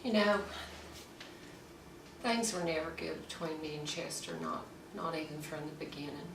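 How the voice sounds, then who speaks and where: A woman's voice: low voice, moderate pace, creaky. A woman playing an unhappily married wife, telling her story in a conversational, confiding monologue. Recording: echoey, noisy room, distant mic.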